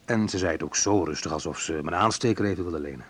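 A man's voice: sits low, quick pace, a bit bright, husky. An actor playing a wry, sensitive young man who recalls his student love life in an intimate first-person radio play monologue. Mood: wry, reminiscing.

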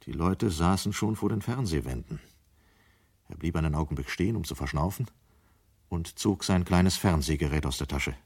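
A man's voice: low voice, animated, moderate pace, creaky, a little mellow, breathy edge. A man narrating a scripted radio play in German as its storyteller.

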